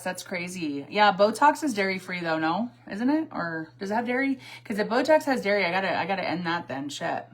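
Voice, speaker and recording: Woman, forties, sassy, chatty, livestream makeup chat, answers comments, mid-pitched voice. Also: fairly bright tone. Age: 40s. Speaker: livestream host. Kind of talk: casual livestream chat while doing makeup.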